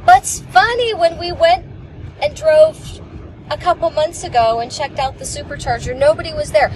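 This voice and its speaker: A woman's voice: very high voice, animated, moderate pace, darkish tone, rough recording. A woman in her forties, a passenger telling a rambling road-trip story in casual chat, recorded from the dashboard of a moving car. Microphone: camera on the dashboard. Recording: clear road noise in a car.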